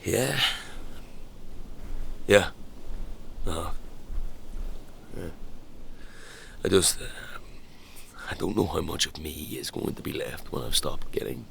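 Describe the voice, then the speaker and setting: Halting, low, gravelly young-sounding man. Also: slight background noise, exaggerated voice. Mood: uneasy, confiding. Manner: halting, stammering, searching for words. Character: uneasy, self-deprecating man changed by mystical blood. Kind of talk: audio drama acting, naturalistic dialogue.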